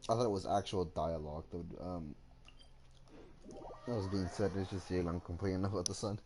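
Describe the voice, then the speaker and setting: A man's voice: deep voice, steady tone, a little fast, noisy background. A man giving casual, offhand commentary as he streams a video game. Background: game music.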